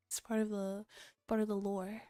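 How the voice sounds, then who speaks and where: Female VTuber host, soft mellow tone, dry close mic with faint music behind, casual and playful chat. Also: medium pitch, no echo. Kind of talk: casual livestream chat, reading a visual novel aloud.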